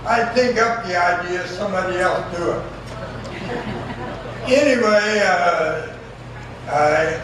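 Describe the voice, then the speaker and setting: An older man with a high voice gives a slow, folksy, self-deprecating acceptance speech. He pauses often, and the banquet room around him is very echoey. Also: recorded from a distance, noisy background. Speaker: award recipient giving acceptance speech. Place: large banquet room over microphone.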